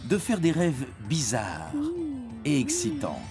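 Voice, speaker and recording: A man's voice: mid-range voice, huge pitch swings, slow pace. A man narrates an educational cartoon script playfully, speaking directly to a young listener. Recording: prominent music behind narration. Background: music.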